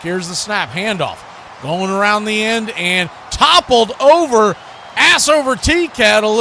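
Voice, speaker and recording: A man's voice: high-pitched, bright, forceful tone, slight background noise. A man hosting a live football stream, mixing play-by-play commentary with casual chat in folksy, colloquial phrasing.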